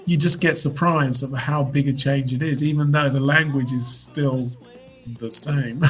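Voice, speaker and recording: A man in his fifties, a musician guest, reflecting on his life in relaxed, hesitant interview talk. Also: mid-range voice, measured pace, faint music behind, poor audio. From United Kingdom. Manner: reflective storyteller, hesitant restarts. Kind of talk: casual interview chat.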